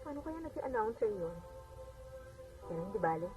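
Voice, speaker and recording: An actress playing a devout, lively working mother and bossy older sister in a radio drama, speaking in an expressive, conversational way. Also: mid-range voice, fairly quick, close to an everyday voice. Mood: chatty, curious. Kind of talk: Filipino radio drama acting. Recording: dull radio playback, distant, music behind.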